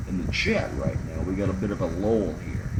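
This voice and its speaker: A man's voice: sits low, fairly quick. A man hosting a casual livestream chat, joking around and rambling with lots of ums and restarts. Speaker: livestream host reading chat. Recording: clear background hum.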